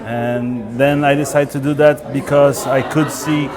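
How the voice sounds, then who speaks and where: A man's voice: medium pitch, fairly even intonation, a little slow, over music. A man from Brazil with a Brazilian Portuguese accent, a native Portuguese speaker, describing his watch in simple, careful phrases. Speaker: guest collector. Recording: voices over a chatty crowd.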